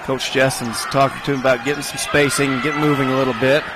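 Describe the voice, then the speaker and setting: A man giving color commentary at a high school basketball game, explaining spacing, screens and rebounds like a coach. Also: level tone, fast talker. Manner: coach-like breakdown of plays and spacing. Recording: game broadcast with gym noise. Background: crowd and court noise of the game.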